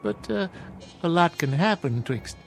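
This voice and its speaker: An American actor playing a wheedling, folksy small-town drifter in an old television western. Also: male voice, on the high side, expressive, unhurried, vocal fry, background noise. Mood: sly, wheedling. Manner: folksy, needling, insinuating. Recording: vintage TV soundtrack with music underneath.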